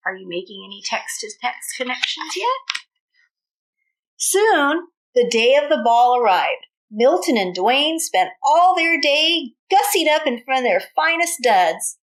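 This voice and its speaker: A woman teacher reading a storybook aloud to young children, pausing to explain and ask questions. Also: high-pitched, sing-song, poor audio. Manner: explanatory, pauses to question the listeners.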